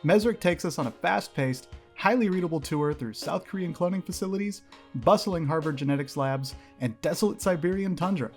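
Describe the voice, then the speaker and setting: Man, fairly high pitch, promotional review reading, faint guitar music. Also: a little fast. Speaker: reader of a book review.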